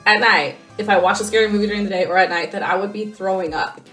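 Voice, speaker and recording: A woman's voice: mid-range voice, fast talker, bright, forceful tone. A woman co-hosting a podcast in casual, slangy, self-deprecating chat. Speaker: podcast co-host. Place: echoey garage studio. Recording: roomy echo, faint music behind.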